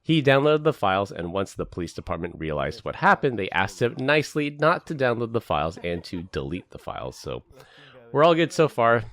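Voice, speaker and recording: A man's voice: on the low side, a little fast. A man hosting a casual tech podcast, joking and laughing often as he runs through the stories. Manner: laughs often, jokey and animated. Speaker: podcast host.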